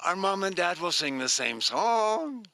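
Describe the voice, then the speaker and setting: A man's voice: high-pitched, sing-song, measured pace, creaky, sharp tone, dry recording, exaggerated voice. An older man voicing a tiny female baby heron in a story, innocent and eager, calling out plaintively. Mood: confident.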